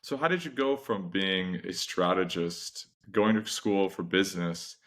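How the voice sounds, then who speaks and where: A man hosting a podcast interview, asking measured questions and repeating words as he forms them. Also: sits low, a bit bright. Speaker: podcast host.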